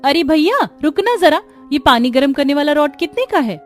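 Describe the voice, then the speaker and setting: A woman's voice: high voice, lively intonation, moderate pace, soft background music, pitched above the performer's own voice. A woman voicing a resourceful, practical young daughter-in-law in a cartoon story. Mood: eager. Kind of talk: Hindi cartoon story acting.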